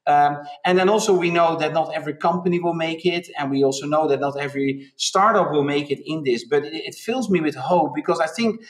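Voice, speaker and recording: A man's voice: on the high side, distant mic. Middle-aged man on a roomy video call. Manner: reflective, halting with restarts. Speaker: interviewed business leader. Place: home room indoors. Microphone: laptop on a video call.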